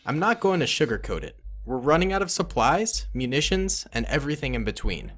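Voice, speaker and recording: A man's voice: a little high, big pitch swings, a little fast, fairly bright tone. A man narrating a fan-made war story and voicing several soldiers in dialogue. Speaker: narrator and voice of most characters. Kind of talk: fan-made cutscene narration with character voices.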